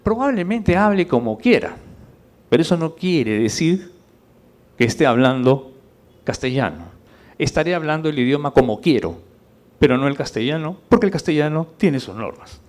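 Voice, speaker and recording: A man's voice: on the high side, lively intonation, moderate pace, a little mellow. Man giving an emphatic public lecture. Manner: didactic, rhetorical, checks with listeners through questions. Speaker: conference speaker.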